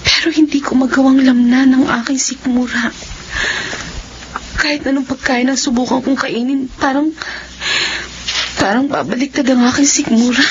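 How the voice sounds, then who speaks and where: Actress as anguished young Filipino woman under a curse, high voice, halting dramatic radio horror delivery, muffled lo-fi sound. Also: fairly even intonation, close to an everyday voice. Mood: troubled, secretive. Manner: slow, halting, dramatic inner monologue. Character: anguished cursed daughter tormented by strange hunger. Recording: dull lo-fi radio audio, clear background noise.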